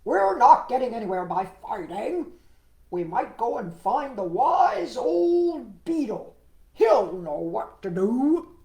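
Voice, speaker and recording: A woman voicing an emphatic, self-important male chief frog from a children's storybook, with drawn-out formal phrasing. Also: fairly high pitch, big pitch swings, vocal fry, mellow, voice pushed up, full character voice. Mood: commanding. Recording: echoey room.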